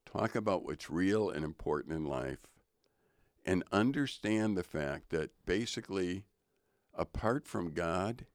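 Deep-voiced older man musing on faith. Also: animated, a little slow, no room sound, studio quality. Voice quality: low voice. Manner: thoughtful, reflective, preachy. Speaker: co-host. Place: radio studio.

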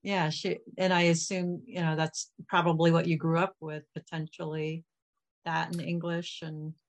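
A woman's voice: low-pitched, flat delivery, moderate pace. A woman hosting a book podcast, asking questions and giving friendly short replies. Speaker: podcast host. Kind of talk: casual interview chat.

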